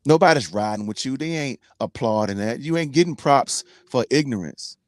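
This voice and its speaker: A man's voice: mid-range voice, lively intonation, normal speed, no echo. Forties guy, blunt and preachy, lots of slang, dry close sound. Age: 40s. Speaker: co-host, team trucker. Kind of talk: casual podcast chat.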